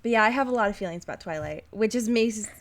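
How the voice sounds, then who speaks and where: A woman's voice: mid-range voice, lively intonation, fast talker. A woman co-hosting a podcast in casual chat, gushing and emphatic with a self-mocking streak. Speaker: podcast co-host.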